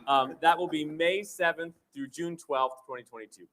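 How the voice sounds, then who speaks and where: A man's voice: a little high, moderate pace, a bit bright. A man co-presenting at a live theater event in a casual announcing style, recorded at a distance in a noisy hall.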